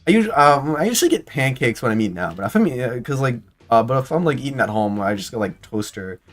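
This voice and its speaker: A man in his twenties streaming casual commentary, rambling and excitable with many restarts and fillers. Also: mid-range voice, fast talker. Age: 20s.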